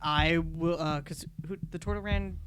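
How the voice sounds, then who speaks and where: A woman's voice: sits low, steady tone, a little slow, slight background noise. A woman playing a tabletop game, talking casually as she works out her moves.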